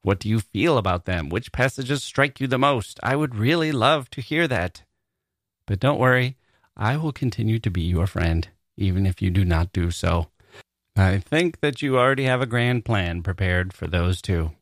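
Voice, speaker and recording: A man's voice: lowish voice, animated, normal speed, no room sound. Male literary podcast host, dry close sound. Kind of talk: conversational solo podcast talk, reading listener mail.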